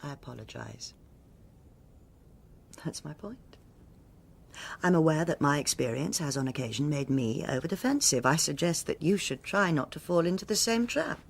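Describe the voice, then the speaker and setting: An actress playing a stern, self-assured senior policewoman with a British accent, speaking in a measured, formal and authoritative way in a radio drama. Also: deep voice, expressive, vocal fry, breathy edge, heavily acted. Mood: defensive, pointed. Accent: British English.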